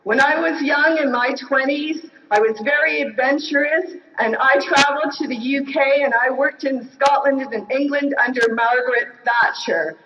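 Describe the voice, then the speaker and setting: A woman in her fifties, a union delegate, making an earnest and increasingly forceful speech at a floor microphone in a large convention hall. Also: high voice, normal speed, hardly any pauses. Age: 50s. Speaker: union delegate running for president. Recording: echoey hall, distant, dull audio.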